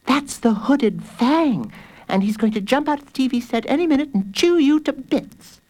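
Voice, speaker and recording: A bossy young girl from a storybook, put on by a male reader. She is pitched very high and speaks with scorn. Every line has a teasing, taunting older-sister edge, delivered with lively swoops. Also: moderate pace.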